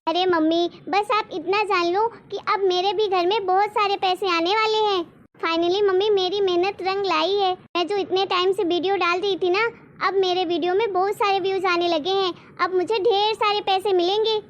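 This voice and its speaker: Woman doing super high little cartoon lady voice, emphatic and pleading, bit of noise behind. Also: steady tone, normal speed, lo-fi sound. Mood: excited. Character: excited, devoted young daughter and her protective mother. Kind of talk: Hindi cartoon moral story dialogue.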